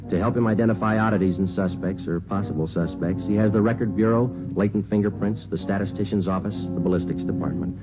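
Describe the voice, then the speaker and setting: Low, deadpan police detective played by a man. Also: natural voice. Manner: deadpan, clipped, matter-of-fact. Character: stoic, businesslike male police sergeant detective. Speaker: narrator and lead actor. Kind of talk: 1950s radio crime drama acting and narration. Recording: muffled vintage radio broadcast.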